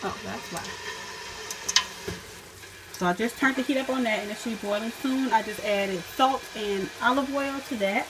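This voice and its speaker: A woman's voice: a little high, moderate pace, pauses often, noisy background. A woman in her home kitchen, walking through a recipe step by step, thinking aloud and correcting herself as she cooks. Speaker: cooking vlogger. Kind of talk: casual recipe walkthrough. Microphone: camera on the counter.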